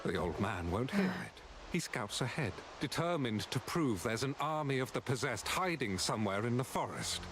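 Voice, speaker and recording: An actor playing a stern, resolute knight in a video game, speaking formally and earnestly. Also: male voice, medium pitch, big pitch swings, normal speed, few pauses, fairly bright tone. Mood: stern, dismissive. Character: stern, resolute male knight sworn to an oath. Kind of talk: scripted video game dialogue. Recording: noisy game ambience behind dialogue.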